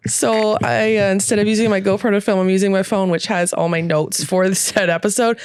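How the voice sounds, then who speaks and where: A woman's voice: medium pitch, fairly even intonation, brisk, darkish tone, clean tone. A woman hosting a casual, unscripted podcast from a bed, rambling, giggly and self-deprecating. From Ontario, Canada. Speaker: podcast host. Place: hotel room, lying in bed.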